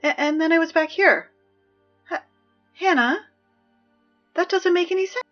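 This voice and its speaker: A woman playing a chipper high school student reading announcements in an audio drama, brisk and deadpan about absurd horrors. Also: lo-fi sound, faint music behind. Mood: confused, alarmed. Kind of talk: scripted audio drama acting as public announcements.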